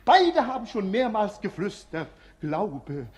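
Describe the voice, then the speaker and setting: A man's voice: high-pitched, big pitch swings, slow, full character voice. An actor playing a mischievous, mocking jester who announces with mock-grand showman's patter in a radio play. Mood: mocking, showy. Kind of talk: 1950s German radio play acting. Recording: reverberant old radio studio hall.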